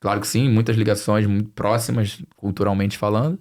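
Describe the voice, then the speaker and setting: A man's voice: fairly low pitch, flat delivery, a little fast, a little mellow. A man with a Brazilian Portuguese accent slightly mixed with European Portuguese, speaking conversationally as a podcast guest and repeating phrases for emphasis. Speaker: podcast guest, financial planning expert.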